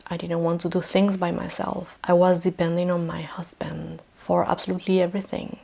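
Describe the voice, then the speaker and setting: A woman in her thirties from Chile, speaking English with a Chilean Spanish accent, softly and hesitantly telling a personal vlog story, often dropping toward a whisper. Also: on the low side, creaky. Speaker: vlogger.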